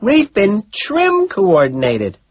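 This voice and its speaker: A man's voice: high voice, huge pitch swings, takes it slowly, no echo, dull audio, pitched above the performer's own voice, full character voice. A man voicing a dramatic, scandalized young society woman in an audiobook, gasping and exclaiming. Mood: excited shouting.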